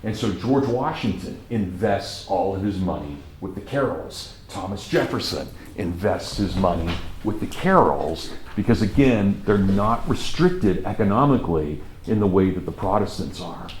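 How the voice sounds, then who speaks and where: A man with a Kansas accent giving a college lecture, telling history as a lively story and repeating words for emphasis. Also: low-pitched, normal speed, hardly any pauses. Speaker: college professor. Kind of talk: classroom lecture. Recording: echoey classroom from far off, faint noise.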